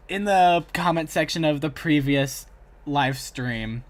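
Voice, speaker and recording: A young man talks casually on a livestream. His voice sits at an average pitch, and he rambles in a chatty way as he reacts and addresses his chat. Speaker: live streamer reacting to videos.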